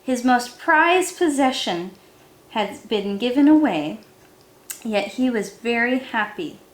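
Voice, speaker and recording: Woman, high lively voice, children's story time, expressive teacher delivery, roomy echo. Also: slow. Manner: animated, addressing young listeners as friends. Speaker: teacher reading a picture book aloud. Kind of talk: expressive children's read-aloud. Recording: roomy, echoey sound.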